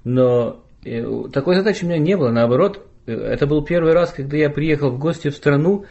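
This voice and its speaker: A man's voice: fairly high pitch, brisk, dull audio. Chatty male podcast host. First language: Russian. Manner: relaxed, chatty, teasing questions. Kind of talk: casual podcast conversation. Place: home studio, remote call.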